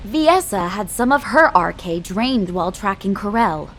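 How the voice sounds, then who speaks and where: A woman's voice: medium pitch, expressive, normal speed, slight background noise, lightly acted. A woman playing a composed military officer in a sci-fi game, speaking in a formal, official manner. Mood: earnest. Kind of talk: formal scripted briefing.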